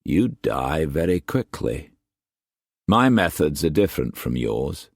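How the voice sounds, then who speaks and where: A steely merchant captain, read by a man, calm and cold. His voice sits deep and unhurried, every word measured. The recording is dry and close. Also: gravelly, dry recording, exaggerated voice.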